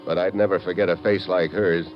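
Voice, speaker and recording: An actor playing a tough, wry, laconic American agent in a 1950s-style radio drama. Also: male voice, low voice, steady tone, fairly quick, faint music behind, close to an everyday voice. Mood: businesslike. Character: tough, wry American male special agent posing as newspaperman. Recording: dull, muffled vintage radio recording.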